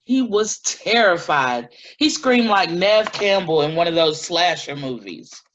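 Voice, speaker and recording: An actress playing a shaken, earnest woman giving deliberate testimony in a dramatic staged reading, on a lo-fi recording. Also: fairly low pitch, sing-song, a bit bright, heavily acted. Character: shaken, earnest woman recounting an eerie night in woods.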